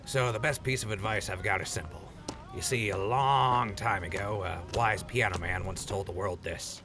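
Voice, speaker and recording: A man's voice: lowish voice, moderate pace, noisy background, close to an everyday voice. A man playing an easygoing, teasing mentor and buddy in an audio drama, casual and joking. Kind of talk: post-apocalyptic audio drama acting.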